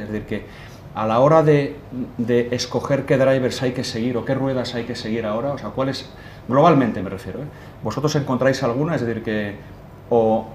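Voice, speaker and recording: A man's voice: medium pitch, a little mellow, slight background noise. A middle-aged man moderating a panel in a conference room, posing questions with many fillers. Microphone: desk microphone. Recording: roomy conference hall sound.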